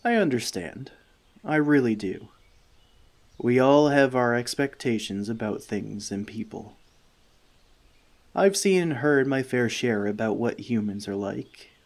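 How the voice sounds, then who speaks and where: A man playing a friendly forest elf in an ASMR roleplay, talking to the listener with dry, gentle teasing. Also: medium pitch, lively intonation, a little slow, pauses often. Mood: relaxed, open.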